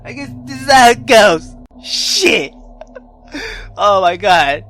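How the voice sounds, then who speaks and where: A man hosting a let's play, reacting loudly and excitably in casual slang with plenty of swearing. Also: high-pitched, takes it slowly. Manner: loud, swearing, slangy, startled exclamations.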